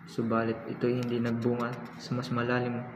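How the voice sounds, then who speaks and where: A man's voice: mid-range voice, completely flat delivery, fairly quick, lightly acted. A man with a Filipino accent playing an earnest, courteous young patriot writer in a radio drama, speaking in formal, literary phrases. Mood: tender, courteous. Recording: echoey, noisy, muffled amateur radio drama recording.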